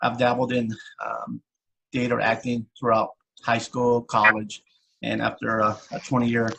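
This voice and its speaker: A man's voice: mid-range voice, monotone, a little slow, gravelly, bright, forceful tone, breathy edge, rough recording. A middle-aged man introducing himself casually and a little hesitantly as an amateur actor on a video call. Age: late 30s to 40s. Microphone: video call microphone.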